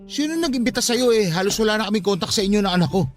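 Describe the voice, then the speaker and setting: A man's voice: high-pitched, expressive, racing, faint music behind. An actor playing a jealous, proud young father in a radio drama, arguing defensively. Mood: jealous, confrontational. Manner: argumentative, defensive. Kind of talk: Tagalog radio drama acting.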